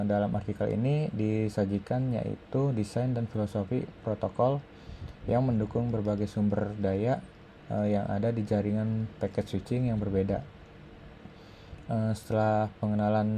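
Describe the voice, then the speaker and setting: An Indonesian man presents an academic review with a halting, hesitant delivery. His voice sits fairly low and is extremely dark and mellow in tone. A faint noise runs behind the recording. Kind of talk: scripted academic presentation, read aloud.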